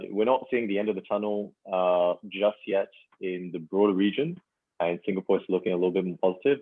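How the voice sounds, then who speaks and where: Halting young man on a tinny line. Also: low voice, no room sound. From France. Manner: halting, full of "you know" fillers. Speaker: startup founder guest. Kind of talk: casual podcast interview. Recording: dry, tinny phone-like call line.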